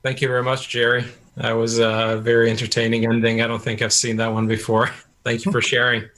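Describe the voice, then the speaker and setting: A man's voice: medium pitch, monotone, a little fast, fairly bright tone. A man acting as host of an online club meeting, polite and orderly in an informal way.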